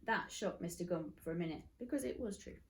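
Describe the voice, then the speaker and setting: A woman's voice: on the low side, animated, fairly quick. A woman narrating a children's story expressively, like a teacher reading aloud.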